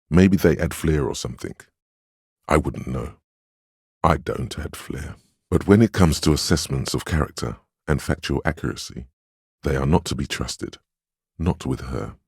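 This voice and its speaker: An actor playing a stern, weary inner voice of willpower in a video game, speaking in a deliberate, grave, admonishing way with wry, protective authority. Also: male voice, very deep voice, darkish tone, slightly rough, dry recording, studio quality, exaggerated voice. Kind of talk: dramatic second-person game narration and inner-voice dialogue.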